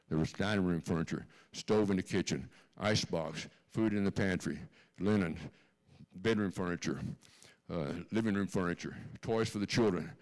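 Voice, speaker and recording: An older man with a Texan accent speaks in a very deep, low voice to a church congregation. He tells a personal story earnestly and repeats key lines for emphasis. He sounds like a guest speaker giving his testimony in a church sanctuary. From Texas, born in Austria.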